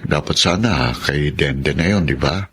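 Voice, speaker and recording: A Filipino man acting as a steady, protective young husband in a radio drama. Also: very deep voice, unhurried, slightly rough, heavily acted. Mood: calm, advising.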